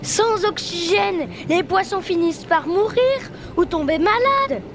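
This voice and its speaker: A grave expert scientist in a children's radio play, acted by a child. The voice is extremely high and explains things seriously, over clear background noise. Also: female voice, expressive, a little slow, exaggerated voice. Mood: serious, explanatory. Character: grave, famous male scientist explaining lab findings.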